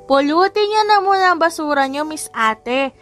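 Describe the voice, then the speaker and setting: A bold little girl acted out by a woman narrator in an audiobook story. The voice is high and scolding, polite in form yet defiant, with music underneath. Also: expressive, moderate pace, fairly bright tone. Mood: indignant scolding.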